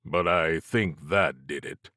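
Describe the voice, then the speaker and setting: A man voicing a stoic, gruff male investigator in an audiobook, laconic and flat. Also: low-pitched, slow, no echo, clean studio sound, heavily acted. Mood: grim. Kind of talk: audiobook character acting.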